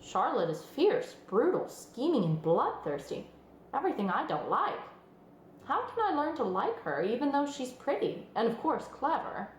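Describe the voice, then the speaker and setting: A woman's voice: sits high, very animated, a little hiss or hum. A woman voicing a timid, earnest young male pig in a storybook, plaintive and easily horrified. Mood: doubtful, earnest. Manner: gloomy, drawn-out, plaintive. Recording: roomy sound with music underneath.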